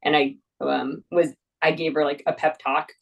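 Low-voiced woman, a bit off-mic. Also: flat delivery, moderate pace, vocal fry, recorded from a distance, highs cut off. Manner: laughs easily, many fillers and restarts. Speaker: mountain guide, guest. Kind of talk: casual interview talk.

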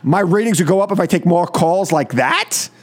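A man hosting a live political call-in radio show, animated and joking, repeating phrases for emphasis. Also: sits high, normal speed. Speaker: radio talk show host. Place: radio studio.

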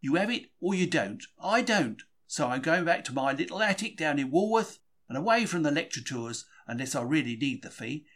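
A man's voice: fairly high pitch, expressive, normal speed, hardly any pauses, a bit bright. A man reading a first-person diary from a script in a conversational, confiding storytelling manner. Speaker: audiobook-style reader of a diary.

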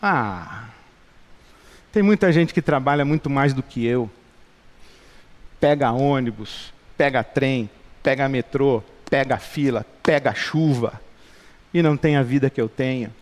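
A man's voice: mid-range voice, unhurried, long pauses. A middle-aged Brazilian man preaching a sermon with passion, building points through rhetorical repetition. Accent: Brazilian Portuguese. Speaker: pastor. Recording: long hall reverb.

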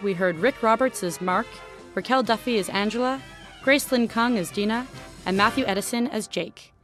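A woman reading scripted closing credits as an announcer. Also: medium pitch.

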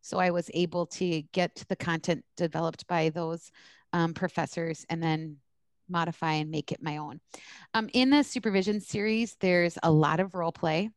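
A woman's voice: lowish voice, steady tone, normal speed, clear, resonant tone, dry recording. Blunt, candid woman in a close, dry recording. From US East Coast. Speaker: social work supervision instructor. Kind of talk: conversational interview answers.

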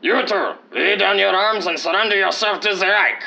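An actor playing a domineering Nazi soldier villain, barking commands in an old-time radio adventure parody. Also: male voice, sits high, normal speed, gravelly, bright, forceful tone, dull audio, heavily acted. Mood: menacing, commanding.

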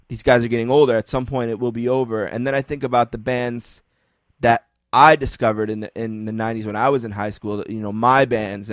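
A man's voice: medium pitch, no room sound, studio quality. A man hosting a podcast, chatting casually and joking at his own expense. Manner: chatty, self-deprecating, quick asides. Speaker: podcast host. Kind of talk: casual chat.

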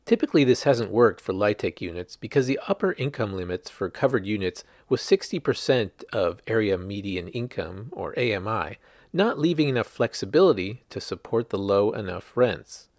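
A man's voice: fairly low pitch, expressive, moderate pace, a little mellow, dry recording, studio quality. A man reading a news article aloud as a scripted narration, in the manner of a reporter presenting a written story.

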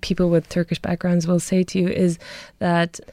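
A woman's voice: on the low side, monotone, moderate pace, dark tone, no echo. A young woman from Germany reading her poetry and talking thoughtfully about her life, with light laughs between her words. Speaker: poet and guest. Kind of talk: poetry reading and interview answers.

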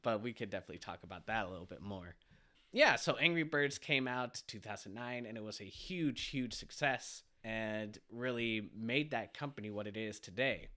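A man's voice: on the low side, moderate pace, bright, forceful tone. A man hosting a casual podcast chat, a little stuffy from a cold, asking questions and laughing easily. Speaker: podcast host.

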